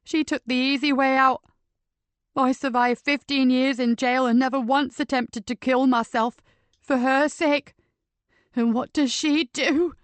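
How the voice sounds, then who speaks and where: A woman's voice: fairly high pitch, level tone, slow, pauses often, a little mellow, no room sound. A woman playing a desperate, tearful ex-convict. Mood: bitter. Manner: strained, pleading and near tears. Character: desperate grieving male ex-convict. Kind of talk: audiobook character voice.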